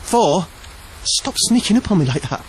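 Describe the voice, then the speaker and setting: A man's voice: high voice, very animated, normal speed, slight background noise, low-quality recording. A man voicing an awkward, insecure young man who hesitates and stammers, over music. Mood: unsure. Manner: hesitant, stammering, self-deprecating.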